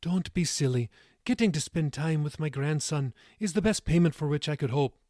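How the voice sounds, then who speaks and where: A man voicing a warm, playful older grandmother with an Irish accent in an audiobook story. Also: fairly high pitch, lively intonation, moderate pace, no room sound, clean studio sound, pitched above the performer's own voice, natural voice.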